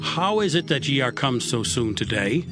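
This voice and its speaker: A man's voice: medium pitch, sing-song, moderate pace, lightly acted. A man playing a hospitable, inquisitive father and desert priest in a dramatized scripture reading. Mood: curious, hospitable. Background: music.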